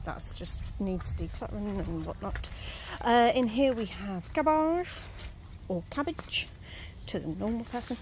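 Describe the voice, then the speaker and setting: A woman's voice: medium pitch, background noise. A woman giving a casual, self-mocking tour of her allotment garden, recorded outdoors on a handheld phone. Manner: chatty, self-mocking, laughs easily. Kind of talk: casual walk-through chat. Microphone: handheld phone camera, speaker off-screen. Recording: faint outdoor ambience.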